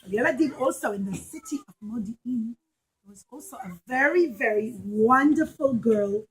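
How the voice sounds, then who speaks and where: High-voiced woman telling stories to children. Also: expressive, normal speed, slightly rough, rough recording. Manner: dramatic, addresses listeners as children, simple words. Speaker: puppet show storyteller. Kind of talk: animated storytelling to young children.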